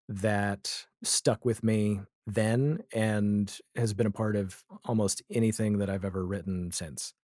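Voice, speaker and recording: A man's voice: on the low side, a little mellow, no echo, studio quality. A man in his forties talking casually and reflectively as an interview guest, with a wry way of telling things. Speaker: interview guest, comedy and audio drama writer.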